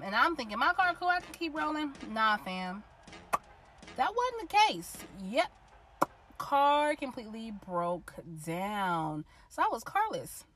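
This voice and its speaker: A woman's voice: medium pitch, fairly bright tone. A young woman hosting her own podcast from inside a car, chatty and slangy, a little congested from a lost voice. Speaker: podcast host. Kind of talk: casual solo podcast talk.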